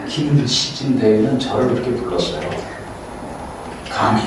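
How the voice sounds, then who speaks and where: An older man gives a relaxed, joking talk as a guest lecturer, teasing himself as he goes. His voice sits at an ordinary pitch and reaches the recording from far off in a very reverberant auditorium. A steady murmur of room noise runs underneath. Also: normal speed. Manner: chatty, self-deprecating, quotes others in playful voices. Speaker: guest lecturer, counseling professor. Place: large auditorium. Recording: echoey hall, voice from far off.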